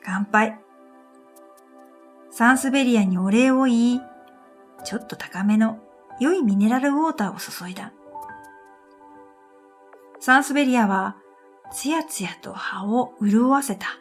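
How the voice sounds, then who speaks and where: Woman reading a radio drama story, soft n unhurried, higher voice, faint new age music behind. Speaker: narrator and storyteller. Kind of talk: scripted first-person radio drama narration.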